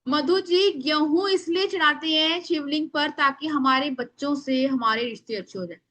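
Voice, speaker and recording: A woman leads a live online class in a high, sharp, strong voice that carries loudly. She explains informally yet emphatically, addressing her listeners directly and slipping English words into her speech. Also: normal speed. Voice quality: loud, strong voice. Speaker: astrology remedies teacher.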